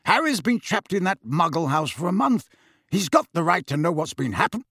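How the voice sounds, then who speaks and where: A man's voice: big pitch swings, brisk, fairly bright tone, husky, no room sound, studio quality, full character voice. A man voicing a frank, challenging godfather with a blunt manner in an audiobook. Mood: indignant. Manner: low-key, blunt.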